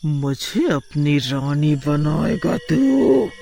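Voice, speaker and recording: A man voicing a vengeful, scornful female witch with drawn-out menacing threats in a horror storybook tale. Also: a little high, very animated, vocal fry, background noise, full character voice. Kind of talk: Hindi horror storybook acting.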